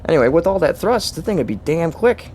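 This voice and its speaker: A young man with a hoarse, strained voice casually walking through his game builds, rambling with fillers and chuckles. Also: a little high, very animated, fast talker, a little mellow, a little hiss or hum. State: hoarse, lost voice. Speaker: game channel host. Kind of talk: casual gameplay walkthrough.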